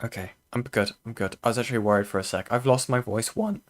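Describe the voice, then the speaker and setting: A man's voice: mid-range voice, fast talker. A man co-commentating on a stream, blunt and profane, scoffing at a caller.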